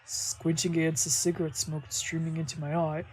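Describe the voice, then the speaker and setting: A woman's voice: low voice, flat delivery, brisk, a little mellow, breathy edge, soft background music, poor audio. A woman streamer reading a visual novel aloud, with playful, slangy asides in casual speech. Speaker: streamer doing a visual novel let's play. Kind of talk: reading game text aloud with casual reactions.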